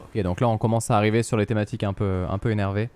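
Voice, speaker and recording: French guy streaming a game, casual commentary, talks quick, smooth clean voice. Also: fairly low pitch, steady tone, darkish tone. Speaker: gaming streamer. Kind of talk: casual live gameplay commentary.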